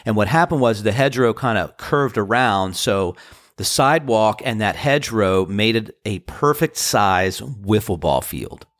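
A man in his fifties talks in a very low, dry voice, close and free of room sound. He rambles warmly through a reflective podcast monologue, his voice still tired and deep after a show. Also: expressive, measured pace, no echo. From Washington DC area. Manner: earnest, rambling, grateful storytelling. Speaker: podcast host and band musician.

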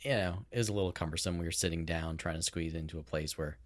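A man's voice: sits low, fast. A man co-hosting a casual home-recorded podcast, rambling and correcting himself as he explains things. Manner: rambling, self-correcting, chatty. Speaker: podcast producer and co-host. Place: home recording setup in a house. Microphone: boom-arm microphone.

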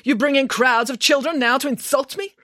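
Woman playing male French museum director, pompous, indignant, sarcastic, pitched far up, wildly animated, storybook melodrama. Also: a little fast, no echo, clean studio sound. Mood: furious.

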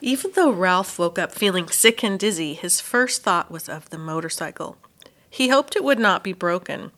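A woman's voice: fairly low pitch, lively intonation, moderate pace, fairly bright tone. A woman who teaches elementary school, reading a children's novel aloud as an audiobook narrator.

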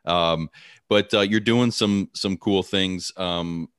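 A man's voice: low voice, steady tone, slow pace, a bit bright, clear, resonant tone, no echo, clean studio sound. A man hosting a podcast interview in a casual, friendly way, with many fillers and repeated words. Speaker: podcast host.

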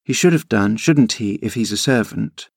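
A man voicing a haughty, spoiled little girl in a storybook, imperious and childish, quick to indignant exclaiming. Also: lowish voice, animated, creaky, no echo, studio quality. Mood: pert, questioning.

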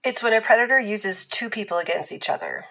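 An actress playing a calm, patient abuse survivor who explains things in a measured, instructive way in a scripted fiction podcast. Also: female voice, mid-range voice, vocal fry, heavily acted, rough recording.

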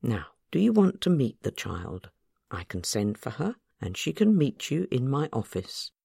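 A woman voicing a cautious, efficient yet compassionate orphanage matron in a story, brisk and formal in manner. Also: rumbling low, big pitch swings, creaky, warm tone, breathy edge, no room sound, studio quality, heavily acted.

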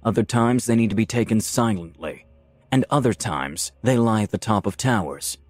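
A man voicing a cool, sardonic male assassin in a fantasy story, speaking in a flat, deliberate way. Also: on the low side, dry recording, faint music behind. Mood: cold. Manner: soft, flat, deliberate, matter-of-fact. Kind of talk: dramatised fantasy story reading.

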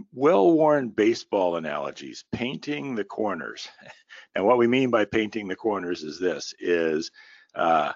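A man hosting a business podcast alone, talking in a folksy way with many fillers, his voice a little raspy and tired. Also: on the low side, dry recording, highs cut off. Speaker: podcast host and company founder. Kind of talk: solo business podcast monologue.

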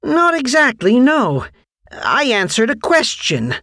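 Man voicing a high-pitched, candid, defensive schoolteacher. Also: wildly swooping pitch, slow, pushed and bright, dry recording, studio quality, exaggerated voice. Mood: hesitant, defensive. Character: candid, defensive male schoolteacher standing his ground.

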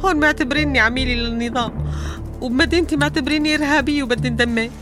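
An actress playing a despairing nurse from the Syrian coast, speaking with a Syrian coastal accent in a resigned, pleading way. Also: female voice, rapid-fire, close to an everyday voice. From Latakia countryside, Syria. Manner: low, resigned, pleading to be left alone. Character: weary woman nurse, despairing, bitter at being distrusted. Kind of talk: radio drama acting. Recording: music bed behind the voice. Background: music.

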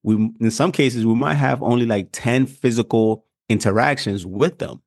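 A man's voice: medium pitch, normal speed, vocal fry, darkish tone, no echo, studio quality. A young man from Ghana, co-hosting a podcast, talking in an earnest, reflective way in casual chat. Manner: earnest, reflective, loosely structured. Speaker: podcast co-host.

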